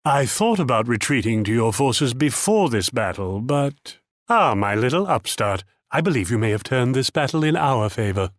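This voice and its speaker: An actor playing a haughty, shrewd Dutch military commander in a strategy game, pompous, theatrical and patronizing. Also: male voice, medium pitch, very animated, normal speed, dry recording, clean studio sound, close to an everyday voice. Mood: confident command. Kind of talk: scripted strategy game character lines.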